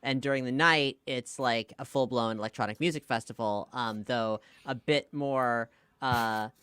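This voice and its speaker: A man with a smooth, clear voice at a middling pitch, captured close and dry. He is a podcast guest who talks casually, explaining things thoughtfully with a wry touch. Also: fairly even intonation, a little slow, sharp tone, no echo. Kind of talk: casual conversational interview.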